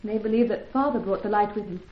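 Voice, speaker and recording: A woman's voice: mid-range voice, fast, slight background noise, close to an everyday voice. An actress playing an ingenuous young woman, a scientist's devoted daughter, in a radio adventure serial, earnest, anxious and dramatic. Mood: earnest, confiding. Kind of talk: old-time radio adventure drama acting. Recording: dull, echoey vintage radio recording.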